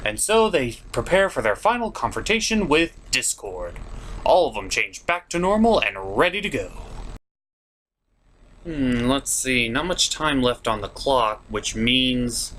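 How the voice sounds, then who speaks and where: A man hosts a review with theatrical, exasperated delivery. His pitch swings widely as he builds each complaint in opinionated commentary. Some background noise sits under the voice. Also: big pitch swings, slow, pauses often, bright, forceful tone. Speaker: host of a cartoon review show.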